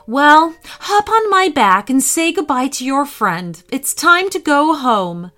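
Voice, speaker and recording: A woman puts on a loving mother dinosaur in a storybook, raised and high-pitched, with an emotional, motherly way of speaking. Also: big pitch swings, takes it slowly, pushed and bright, soft background music, exaggerated voice.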